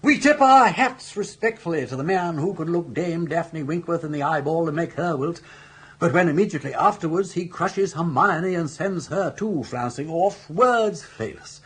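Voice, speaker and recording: Husky, suave old English gent. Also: male voice, a little high, lively intonation, moderate pace, a bit bright. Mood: grandly admiring. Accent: upper-class English. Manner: flowery, persuasive, theatrical. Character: suave, roguish, persuasive upper-class older English gentleman. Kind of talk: British radio comedy drama acting.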